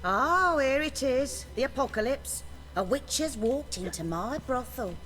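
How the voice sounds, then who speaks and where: Mouthy scornful madam, high pitched, blunt, game dialogue in a big reverby room. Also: female voice, expressive, measured pace, fairly bright tone, slight background noise. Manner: blunt, mocking. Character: brassy, scornful female brothel madam. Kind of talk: video game character acting.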